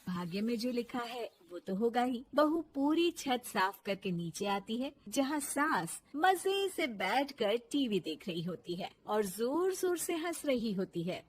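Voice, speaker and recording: A woman storyteller reading scripted narration for an animated moral story. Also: on the high side, a little hiss or hum.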